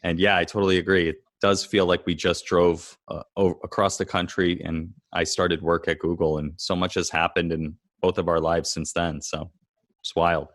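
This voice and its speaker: A man in his thirties hosting a podcast, asking questions in a relaxed, conversational way. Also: low-pitched, normal speed. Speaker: podcast host. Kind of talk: casual interview.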